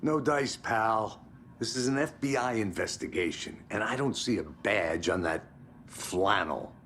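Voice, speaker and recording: An actor playing a blunt, wry federal investigator in a video game. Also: male voice, on the low side, animated, unhurried, a bit bright, a little hiss or hum. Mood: blunt, dismissive. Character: gruff, sardonic male federal investigator, protective partner. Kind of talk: cinematic video game acting.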